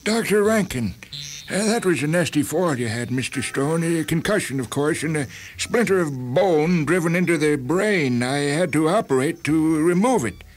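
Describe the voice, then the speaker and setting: Man playing a hesitant, higher-voiced doctor. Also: lively intonation. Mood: calm. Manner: halting, mild, full of hesitations. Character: polite, hesitant, uneasy male hospital doctor.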